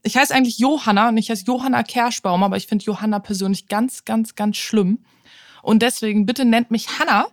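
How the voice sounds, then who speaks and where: A woman's voice: a little high, a little fast, no echo, clean studio sound. A woman aged thirty chatting casually as a podcast co-host, frank and informal, slipping English slang into her talk. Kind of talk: casual chat.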